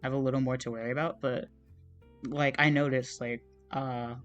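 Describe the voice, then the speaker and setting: A young man talks while he draws at his desk, his voice sitting at an ordinary middle pitch. He meanders from thought to thought in a relaxed, self-deprecating way, full of fillers and half-finished sentences. Faint music plays quietly behind him. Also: moderate pace, lo-fi sound. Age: young adult. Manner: rambling and meandering, many fillers and trailing-off thoughts. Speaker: art streamer. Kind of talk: casual chat while drawing on a livestream.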